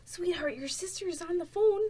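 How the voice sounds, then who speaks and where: A woman's voice: very high voice, normal speed, dark tone. A woman acting a fussy, nosy, sweetly bossy mother in a student radio drama. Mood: cheery.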